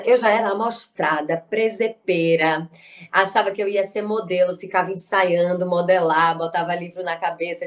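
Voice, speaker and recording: A woman from Salvador with a Bahian Brazilian accent, speaking expressively like a stage performer as she declaims and tells stories. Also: on the low side. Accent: Bahian Brazilian Portuguese. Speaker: guest actress, writer and improviser. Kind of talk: declaimed poetry and personal storytelling.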